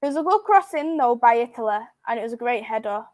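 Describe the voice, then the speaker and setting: A woman's voice: fairly high pitch, expressive, fast, a little mellow. A woman hosting a football watchalong, giving earnest match analysis and teasing her co-host. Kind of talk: casual football chat.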